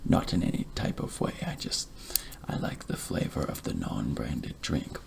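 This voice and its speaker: A man playing a polite, fussy barber in a whispered ASMR roleplay, speaking softly and formally close to the microphone. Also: very deep voice, sing-song, gravelly, heavily acted. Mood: soft, courteous. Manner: soft, hushed, formal, measured. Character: polite, fussy, chatty male barber tending a client. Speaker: ASMR performer. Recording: loud clicking and hiss, scissor sounds.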